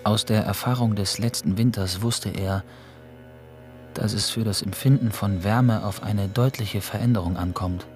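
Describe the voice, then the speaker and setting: A man's voice: lowish voice, fairly even intonation, moderate pace, lightly acted. A man narrating a literary radio drama in a scripted style. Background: prominent music.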